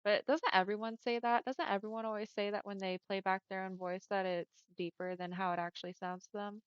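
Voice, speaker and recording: A young woman streamer chatting casually with her viewers, friendly and often laughing, with a smooth voice on the deeper side for a woman. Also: steady tone, brisk, no echo. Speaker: livestreamer. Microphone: headset mic. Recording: dull lo-fi headset mic.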